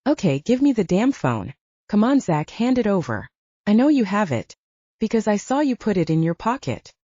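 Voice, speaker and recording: A woman's voice: mid-range voice, very animated, normal speed, warm tone, no echo. A woman voicing a tough but distressed young female soldier in an audiobook, demanding and emotional, cursing as she talks. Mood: stern, demanding. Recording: dull lo-fi audio, dry.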